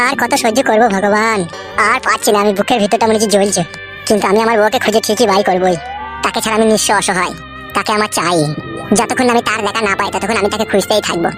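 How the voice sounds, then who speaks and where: A woman's voice: mid-range voice, steady tone, quick pace, over music, close to an everyday voice. A woman voicing a heartbroken, pleading young husband in melodramatic cartoon dialogue. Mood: despairing, determined. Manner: plaintive, repeatedly addressing his friend.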